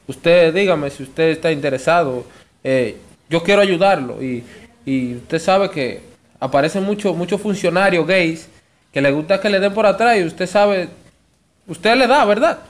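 A man's voice: high voice, animated, unhurried. A man in his twenties with a Dominican accent makes a prank phone call, posing as a show promoter in a pleading, insistent way. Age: 20s. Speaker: prank caller.

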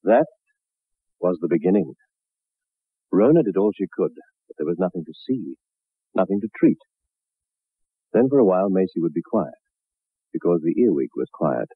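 A man narrating a 1960s radio horror drama as a grave, measured, ominous storyteller. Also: low-pitched, animated, slightly rough, no room sound. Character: grave male narrator of a macabre tale. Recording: muffled narrow-band old radio recording.

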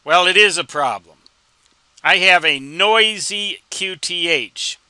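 A man in his sixties giving an informal explanatory tutorial, with deliberate, emphatic phrasing and rhetorical questions. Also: high voice, bright, forceful tone, clean tone, dry recording. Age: 60s. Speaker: instructor and ham radio hobbyist.

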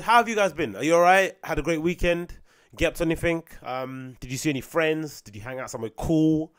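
Raspy young London man rambling. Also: medium pitch, fairly bright tone. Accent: London English. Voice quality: raspy today. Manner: rambling, swears freely, friendly slang. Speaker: podcast host and DJ. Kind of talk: casual solo podcast chat. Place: small home room with bookshelves. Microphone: studio mic on boom arm close to mouth.

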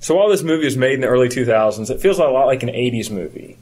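A man's voice: mid-range voice, animated, racing, darkish tone. A man chatting casually about films as a podcast co-host. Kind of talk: casual film chat.

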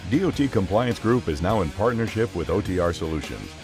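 A man's voice: sits low, lively intonation, fairly quick, darkish tone, soft background music. A man reading a scripted promotional voice-over as a commercial announcer.

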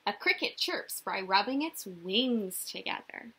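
A young woman reading a picture book aloud to small children like a teacher, expressive and sing-song, often putting questions to them. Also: medium pitch, unhurried, slightly rough. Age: young adult. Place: small indoor room.

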